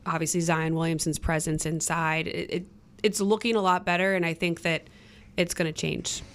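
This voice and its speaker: A woman's voice: deep voice, flat delivery, normal speed. A woman giving casual sports analysis as a reporter on a roundtable panel. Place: studio roundtable.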